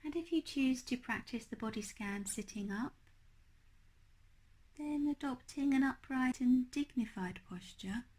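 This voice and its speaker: A woman leading a guided meditation as a teacher, speaking in a gentle, unhurried, hushed manner. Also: sits high.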